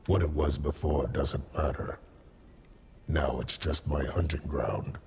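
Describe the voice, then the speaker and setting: A man's voice: a bass voice, fairly even intonation, takes it slowly, vocal fry, darkish tone, slight background noise, heavily acted. A man playing a brooding undead killer of the sea in a fantasy game, terse and coldly menacing. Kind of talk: cinematic fantasy game acting.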